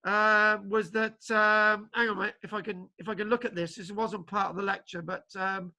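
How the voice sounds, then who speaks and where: Bright-toned man halting through a video call. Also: sits high, level tone. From Wales. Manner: halting, full of restarts and ums. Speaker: archaeology lecturer. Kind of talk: casual online class wrap-up. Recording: spotty video call connection.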